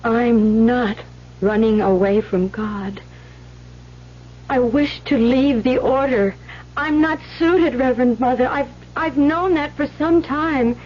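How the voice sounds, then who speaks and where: An actress playing a meek, troubled young nun in an old radio drama, speaking in an earnest, hesitant, pleading way on a muffled recording. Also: female voice, fairly high pitch, takes it slowly, a little hiss or hum. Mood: troubled, resolute.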